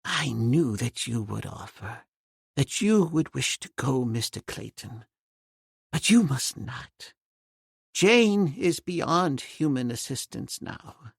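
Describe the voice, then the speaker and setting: A man's voice: medium pitch, very animated, creaky, breathy edge, no echo, clean studio sound, full character voice. A man voicing a grieving old professor. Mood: grave resolve. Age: older. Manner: slow, grave, resigned speech. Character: grief-stricken elderly male professor, solemn.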